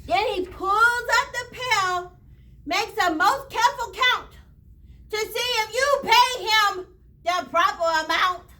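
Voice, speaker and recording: A woman reading a rhyming children's story aloud in an expressive, theatrical way with drawn-out phrasing. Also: very high voice. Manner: theatrical, drawn-out phrasing, pausing to show pictures to listeners. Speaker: storybook reader at a library read-aloud.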